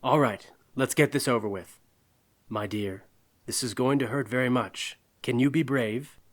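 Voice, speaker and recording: A man's voice: mid-range voice, a little slow, lightly acted. A man playing a kindly, devout old doctor in an audio drama, speaking in an earnest, courteous way. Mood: concerned, gentle.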